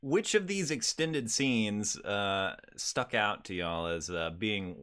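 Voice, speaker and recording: A man's voice: on the low side, lively intonation, slow, bright, forceful tone, smooth. A man hosting a casual pop-culture podcast, talking in a rambling, hesitant way. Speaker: podcast host.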